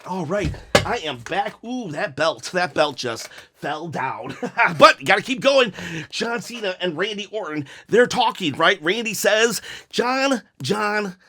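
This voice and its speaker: A man with a raspy, worn-out voice talks through a wrestling review in one unbroken take. His voice sits high and sharp-edged. He rambles into jokes at his own expense, and coughs break in now and then. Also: lively intonation, unhurried, slight background noise. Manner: jokey, self-mocking, rambling asides. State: hoarse, recovering voice, coughing. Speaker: wrestling review channel host.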